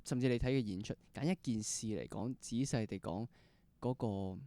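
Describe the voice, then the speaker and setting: A man with a Hong Kong Cantonese accent hosts in a mellow, very smooth voice. He interviews casually, circling back over his phrases and dropping in bits of English. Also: medium pitch, normal speed, no room sound. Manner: repeats words and phrases, mixes in English words. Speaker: podcast interview host.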